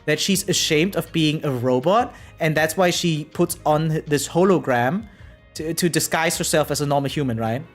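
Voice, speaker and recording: Thirties game streamer, medium pitch, casual rambling reactions, checking in with "right?", faint music. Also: moderate pace. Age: 30s. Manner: rambling, keeps adding "right?". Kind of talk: casual live reaction commentary.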